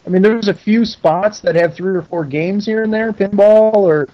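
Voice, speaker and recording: A man's voice: high voice, normal speed, dull audio. A middle-aged American man chatting as an interview guest, plain-spoken and folksy. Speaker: podcast guest, pinball maker. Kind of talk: casual interview chat.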